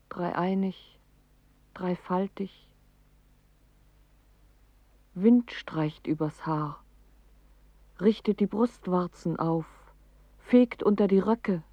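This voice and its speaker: A woman playing a lonely, imploring poetic voice in a literary radio play, with solemn, sparse, drawn-out phrases. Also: lowish voice, dark tone, no echo. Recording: dry, slightly dull studio recording.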